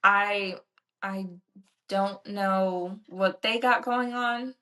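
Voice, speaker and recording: A woman's voice: medium pitch, steady tone, drawn-out words, pushed and bright. A woman in her twenties co-hosting a casual podcast, chatty and supportive, filling her speech with "like" and "you know". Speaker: podcast co-host. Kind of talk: casual chat.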